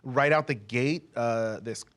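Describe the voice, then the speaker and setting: A man's voice: medium pitch, takes it slowly, fairly bright tone. A man speaking as a comics company rep in a casual interview, joking and slangy, peppering his talk with "like". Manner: slangy, joking, heavy use of "like". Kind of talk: casual, animated interview chat.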